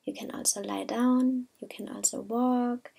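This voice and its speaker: Female meditation guide, higher-pitched but mellow, gentle and unhurried, soft to near-whisper. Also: steady tone, vocal fry, breathy edge. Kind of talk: slow soothing guided meditation.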